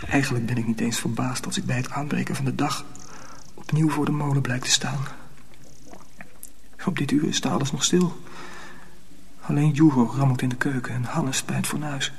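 A man's voice: mid-range voice, steady tone, normal speed, long pauses, a little hiss or hum, natural voice. An actor playing a weary, bewildered young apprentice who tells his own story in intense, urgent phrases in a radio drama. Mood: desperate, weary. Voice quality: husky, breathy, half-whispered. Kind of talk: first-person radio drama narration.

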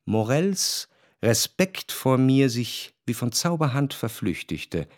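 An actor playing the reflective, observant first-person narrator of a German radio drama, speaking in a measured, literary way. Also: male voice, mid-range voice, very animated, dry recording, studio quality. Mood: reflective, wry.

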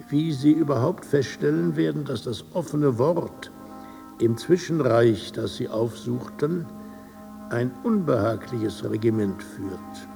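Lofty poet, gravelly and dark, courteous but preachy, formal radio play letter, soft music. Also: male voice, medium pitch. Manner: measured, formal, sententious. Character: eminent male poet, courteous, lofty, gently admonishing. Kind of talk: radio drama letter reading.

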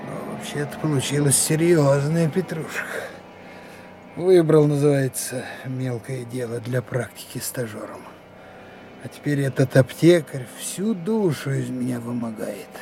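An actor playing a gruff, kindly senior detective mentoring young trainees in a Soviet radio drama, speaking in a folksy, unhurried way. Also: male voice, medium pitch, animated, warm tone, a little hiss or hum. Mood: wry, resolute.

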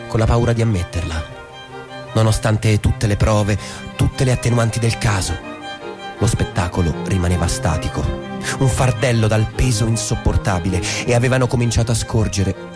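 Man acting bitter intense husband, low voice, theatrical stage monologue, music behind. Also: natural voice. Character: restless disillusioned American husband, intense and bitter.

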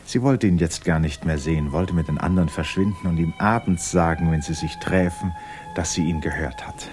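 A man narrating a literary 1950s radio drama in an expressive, dramatic storytelling manner. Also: low-pitched, a little fast, noisy background. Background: violin music.

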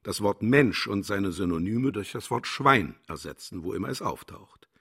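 A man's voice: lowish voice, animated, normal speed, creaky, a bit bright, breathy edge, heavily acted. A man playing a vain, contemptuous philosopher in a radio play, declaiming and lecturing grandly. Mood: grandiose. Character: pompous, cynical male philosopher, vain and contemptuous. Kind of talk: German radio play acting.

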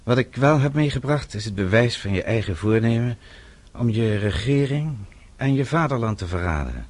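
An actor playing a vengeful middle-aged father in a radio play, speaking in a measured, deliberate and formal way. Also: medium pitch, lively intonation, a little mellow, close to an everyday voice. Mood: calm triumph. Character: vengeful father, composed, coldly methodical older man.

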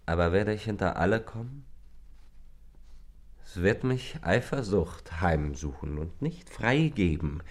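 An actor playing a rapturous male lover in a literary radio play, declaiming poetic lines fervently in a low, gravelly, often breathy voice. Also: lively intonation, measured pace. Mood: feverish, ecstatic. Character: rapturous male lover, a stranger in a rented room.

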